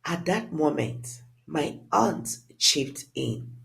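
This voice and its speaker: Slow, animated dramatic storyteller. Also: mid-range voice, vocal fry. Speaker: storyteller, narrator. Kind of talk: dramatic scripted first-person story narration.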